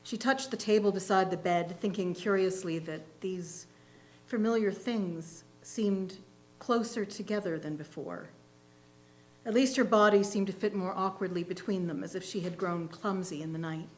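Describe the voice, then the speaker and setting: A woman reading literary fiction aloud as a reader's theatre performer, in a measured, expressive storytelling manner. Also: medium pitch, low-quality recording. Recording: faint hum and background noise.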